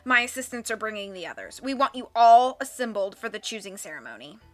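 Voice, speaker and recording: A woman's voice: a little high, sing-song, fairly quick, no room sound, soft background music. Boastful villain count, bossy and theatrical, woman reading him. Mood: commanding. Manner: boastful, commanding.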